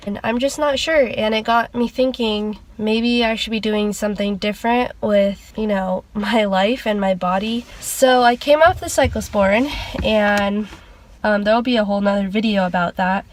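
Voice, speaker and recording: Congested young woman chatting casually. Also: mid-range voice. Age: young adult. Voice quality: nasal. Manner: rambling, chatty, lots of "like" fillers. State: congested from a cold. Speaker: vlogger. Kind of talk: casual sit-down chat.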